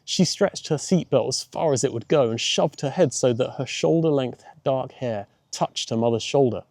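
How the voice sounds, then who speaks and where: A man's voice: medium pitch, moderate pace, a little mellow, dry recording, clean studio sound. A man reading a children's audiobook as its narrator, in scripted storytelling style.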